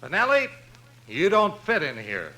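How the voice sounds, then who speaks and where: A man's voice: fairly high pitch, wildly swooping pitch, measured pace, pushed and bright, heavily acted, poor audio. A man playing a haughty, dismissive young fraternity snob in a 1950s radio comedy. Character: snobbish young male college fraternity man, condescending.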